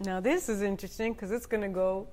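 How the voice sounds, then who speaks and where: A woman tells a personal story as a guest, candid and relaxed. Her voice sits at an ordinary middle pitch for a woman. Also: fast talker. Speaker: model, guest. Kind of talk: casual interview storytelling.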